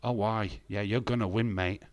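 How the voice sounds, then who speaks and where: A man's voice: on the low side, steady tone, moderate pace, no room sound. A man in his fifties hosting a live stream, reading chat comments aloud and answering them bluntly with sarcasm and frequent swearing. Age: 50s.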